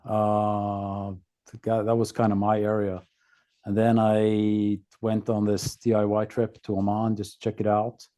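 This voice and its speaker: A man from Norway with a Norwegian accent, a podcast guest telling his own story casually in a halting, laconic way. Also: lowish voice, completely flat delivery, mellow.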